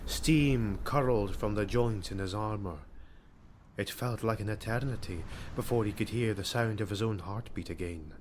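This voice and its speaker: A man's voice: on the low side, a little hiss or hum. A man reading a fantasy audiobook as a dramatic narrator, with a grave, theatrical delivery. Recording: music bed under the voice. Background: background music.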